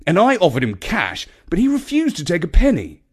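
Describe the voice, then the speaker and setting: A man's voice: sits high, huge pitch swings, fairly quick, darkish tone, husky, voice pushed up, exaggerated voice. A man voicing a breezy, self-assured uncle in a children's story, with a drawling, emphatic, chatty way of talking. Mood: confiding, triumphant. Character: breezy, charmed, self-assured younger or middle-aged uncle.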